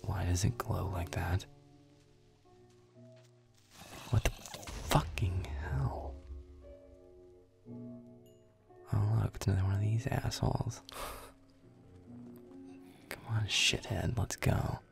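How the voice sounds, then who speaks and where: Grumpy, sarcastic werewolf boyfriend for gaming roleplay audio male performer: very low, gravelly, breathy, irritated sweary muttering. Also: steady tone, measured pace, pauses often, soft background music, exaggerated voice. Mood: irritated, scornful.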